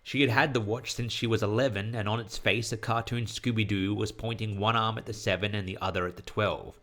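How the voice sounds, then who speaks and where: Male narrator, lowish pitch, scripted horror storytelling. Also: fairly even intonation, a little fast, sharp tone.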